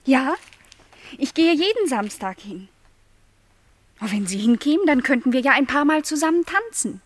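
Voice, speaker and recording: High-voiced, earnest young housemaid. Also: female voice, expressive, moderate pace, dry recording. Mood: polite, reluctant. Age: young adult. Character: young lonely housemaid, earnest, shy, gently insistent. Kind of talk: literary radio play dialogue.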